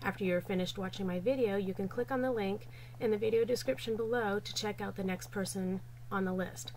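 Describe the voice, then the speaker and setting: A woman hosting a card-making tutorial, hoarse from a cold, talking in a chatty way with unsure asides. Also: mid-range voice, brisk, a little mellow, slight background noise, rough recording. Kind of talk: casual craft tutorial narration.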